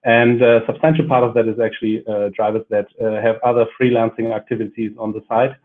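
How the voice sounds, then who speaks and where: A man introducing himself on an online panel over a video call, steady and measured, with frequent "uh" fillers. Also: lowish voice. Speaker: panelist, public policy lead. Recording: tinny phone-like call line.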